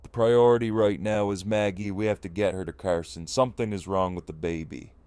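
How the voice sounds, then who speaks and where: A man's voice: lowish voice, steady tone, fairly quick, darkish tone, clear, resonant tone, no room sound, lightly acted. A man playing a battle-worn group leader in an audio drama, terse, grave and urgent. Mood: grim.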